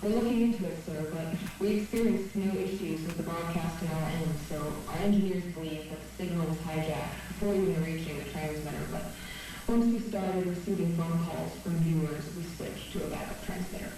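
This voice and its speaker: A man's voice: fairly high pitch, brisk, dark tone, close to an everyday voice. A man playing a deferential station subordinate reporting to his superior in a horror drama. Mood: deferential, defensive. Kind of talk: dramatic horror audio acting. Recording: very echoey, distant, faint noise.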